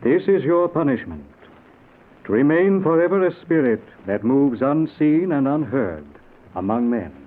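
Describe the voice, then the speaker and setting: A stern, otherworldly guide of souls in an old radio play. He speaks formally and commandingly, with sweeping intonation and a medium pitch, through tinny vintage audio. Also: sing-song, a little slow. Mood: stern judgement. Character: tall, robed spirit guide, stern and solemn. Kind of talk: old-time radio drama acting. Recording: thin, narrow-band old radio recording.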